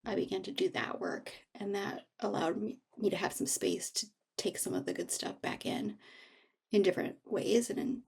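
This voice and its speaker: A woman speaking reflectively as an interview guest, halting and thoughtful as she searches for her words. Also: mid-range voice, vocal fry, darkish tone, breathy edge. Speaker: author, guest.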